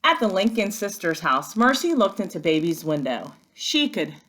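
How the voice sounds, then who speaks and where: A woman working as a first-grade teacher, giving an expressive, instructive read-aloud lesson for young children. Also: fairly low pitch, normal speed.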